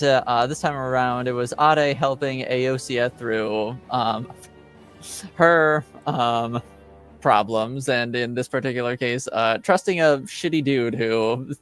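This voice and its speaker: A man hosting as game master, giving a casual recap of a tabletop game in a measured, halting delivery. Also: mid-range voice, clear, resonant tone, dry recording. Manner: measured, halting, pausing between phrases.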